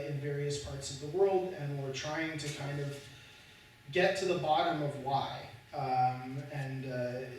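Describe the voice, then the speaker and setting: A man's voice: a little high, slight background noise. A male historian speaking as a guest at an academic panel, hesitant with many fillers and restarts, dry humor. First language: Slovak spoken at home as a child. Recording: echoey room, far from the mic.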